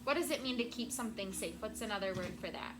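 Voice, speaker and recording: A woman teacher reading a storybook aloud to children in a classroom, with expressive, deliberate phrasing and prompting questions. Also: mid-range voice. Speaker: elementary school teacher. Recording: very noisy classroom recording.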